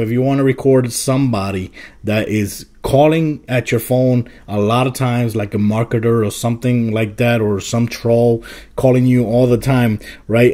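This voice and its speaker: A man's voice: medium pitch, dark tone. A man hosting a casual tech tutorial, explaining things informally step by step. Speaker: tech video host. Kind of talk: casual phone app tutorial.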